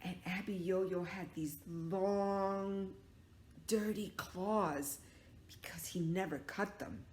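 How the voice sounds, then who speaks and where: A woman telling a story to young children, dramatic, stretching out words and dropping into hushed tones, with questions to her listeners. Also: medium pitch, takes it slowly, slight background noise. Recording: echoey room.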